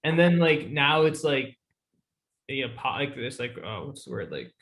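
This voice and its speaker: A man's voice: medium pitch, lively intonation, normal speed, vocal fry, fairly bright tone. A man interviewing a musician, easygoing and chatty, swearing casually.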